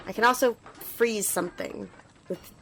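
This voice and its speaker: A woman's voice: medium pitch, moderate pace, gravelly, fairly bright tone, a little hiss or hum. A woman playing an eager, earnest, slightly nervous magical squire in an ASMR roleplay, talking to the listener in a hesitant, rambling, reassuring way. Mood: earnest, explaining.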